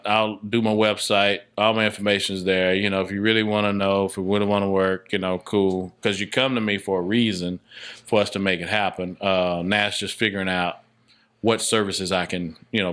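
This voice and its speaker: Male podcast guest, low-pitched voice, conversational and emphatic, circles back over his phrases. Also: a bit bright, clean tone. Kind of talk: casual interview conversation.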